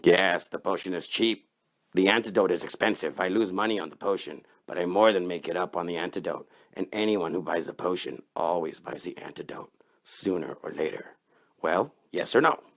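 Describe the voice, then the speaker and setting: Man acting a deep-voiced, sly potion seller. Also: moderate pace, fairly bright tone. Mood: cool, businesslike. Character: shady, unhurried male potion seller, coldly mercenary. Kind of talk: melodramatic horror comic dramatization.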